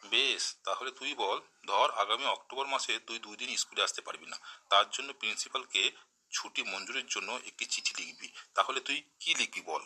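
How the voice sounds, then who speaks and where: A man's voice: lowish voice, normal speed, sharp tone, breathy edge, full character voice, poor audio. A man playing a stern, sarcastic schoolteacher in a comic cartoon, scolding and mocking. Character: stern, sarcastic, exasperated male village schoolteacher.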